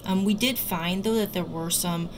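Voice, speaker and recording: A woman doctor and researcher giving careful, somewhat halting explanatory answers as an interview guest. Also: medium pitch. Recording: loud background noise.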